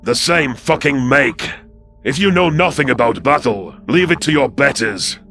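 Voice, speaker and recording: A man voicing a hostile, contemptuous alien predator soldier with a gravelly, sharp, sneering delivery in a sci-fi audio drama. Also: medium pitch, lively intonation, normal speed, faint music behind, pitched above the performer's own voice. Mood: angry, scornful. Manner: sneering, spitting.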